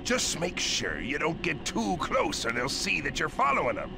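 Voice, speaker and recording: An actor playing a greedy, blustery old crab boss with a gravelly, salty sea-captain manner in a cartoon game. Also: male voice, on the high side, huge pitch swings, normal speed, background noise, full character voice. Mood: jovial, greedy. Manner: blustery, salty pirate-like talk. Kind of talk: video game cartoon acting.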